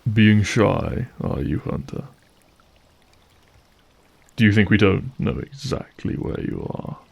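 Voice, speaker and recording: Casting: male actor as courtly, ruthless vampire lord for audio drama; heavy gravel, dark husky tone, veiled threat. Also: fairly low pitch, exaggerated voice. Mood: silky menace. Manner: formal, silky, measured politeness with veiled threat. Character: suave, menacing vampire brood leader, courtly and ruthless.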